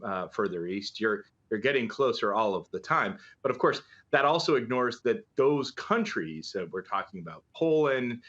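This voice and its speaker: Low-pitched male analyst on a dull link. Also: a bit bright. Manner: hesitant, conversational, stressing points with rhetorical questions. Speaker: political analyst and journalist guest. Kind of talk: live news interview commentary.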